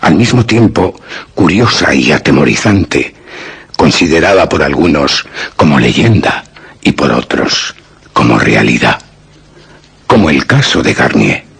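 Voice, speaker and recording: A man with a very raspy, gravelly voice reading scripted, dramatic horror narration. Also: rumbling low, big pitch swings, measured pace, pauses often, a bit bright.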